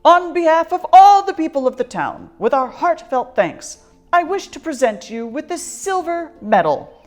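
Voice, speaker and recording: A woman voicing a pompous town mayor in a storybook, with a formal, declamatory delivery and drawn-out words. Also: high-pitched, big pitch swings, voice pushed up. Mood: grand, formal. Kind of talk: storybook character voice.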